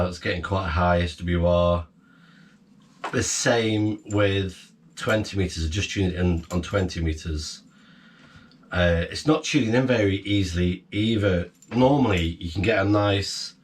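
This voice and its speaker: A middle-aged man chatting casually and informally to camera, explaining things in a halting way. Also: sits low. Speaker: vlogger, amateur radio hobbyist. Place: small wooden cabin, indoors. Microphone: camera a few metres away. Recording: distant camera mic, noisy room.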